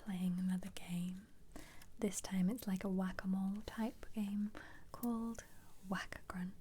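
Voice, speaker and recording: A woman whispering in a breathy, playful ASMR style, musing aloud as she looks through a picture book. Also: mid-range voice, level tone, a little slow, dark tone, slight background noise. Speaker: ASMR host.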